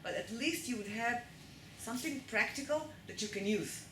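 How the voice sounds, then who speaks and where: A woman's voice: on the high side, normal speed, sharp tone. A woman with an Israeli accent giving a live lecture to an audience and often checking that they follow along. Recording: echoey room with noise.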